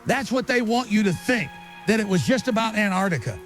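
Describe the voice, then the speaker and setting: A man's voice: sits high, expressive, fairly quick, fairly bright tone, faint music behind. A man acting an earnest, insistent conspiracy researcher being interviewed as a guest in an audio drama.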